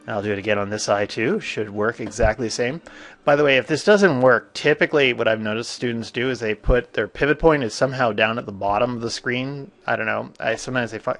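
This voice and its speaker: A man with a stuffy cold, teaching a software tutorial in a casual, thinking-aloud way with frequent fillers. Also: on the low side. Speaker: 3D animation instructor. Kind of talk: screen-recorded software tutorial.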